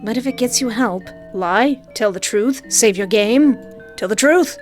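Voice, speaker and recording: A woman's voice: on the high side, unhurried. Woman, let's play, narrating a visual novel, joking asides, faint game music. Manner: playful, animated joking asides. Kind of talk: reading a visual novel aloud with commentary.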